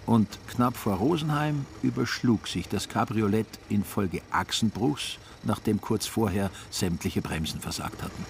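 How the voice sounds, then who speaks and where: A man narrating a literary radio play in a wry, measured storytelling manner. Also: lowish voice, vocal fry, noisy background.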